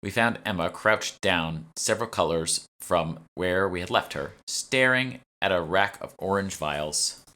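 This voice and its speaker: A man narrates a novel as its author. His voice sits low, with a bright, forceful edge, as he reads scripted prose. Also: unhurried. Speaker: author reading own audiobook. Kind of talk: scripted narration, audiobook reading.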